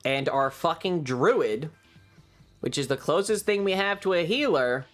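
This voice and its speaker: A man's voice: a little high, lively intonation, unhurried, faint music behind. A man chatting casually to stream viewers, telling stories emphatically with heavy swearing and repeated phrases. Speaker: livestreamer. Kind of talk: casual chat, animated storytelling to stream viewers.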